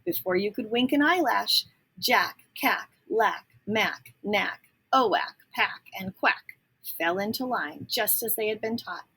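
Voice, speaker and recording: A woman reading a story aloud to young children, pausing to explain words and ask questions. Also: mid-range voice, bright, forceful tone, slightly rough, rough recording. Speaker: picture book reader.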